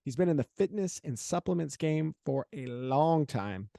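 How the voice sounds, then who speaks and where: Male podcast host in his thirties, energetic and casual, slightly higher voice, dry sound. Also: moderate pace, creaky, no echo. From Louisiana, USA. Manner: energetic, swears casually, laughs often. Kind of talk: casual interview chat.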